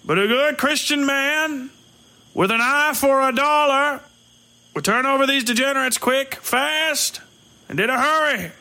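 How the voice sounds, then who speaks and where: A man's voice: extremely high pitch, measured pace, bright, forceful tone, pitched above the performer's own voice, exaggerated voice. A man voicing a threatening, sardonic lawman in western audio fiction, with a folksy, speechifying manner. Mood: menacing, smug. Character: swaggering male bounty-hunting lawman, threatening, sardonic.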